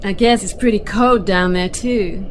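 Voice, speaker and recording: A woman's voice: mid-range voice, measured pace, background noise, lightly acted. A woman playing a radio presenter in a science fiction radio drama. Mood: curious. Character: female live radio presenter interviewing a diver. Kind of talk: radio play acting as live broadcast.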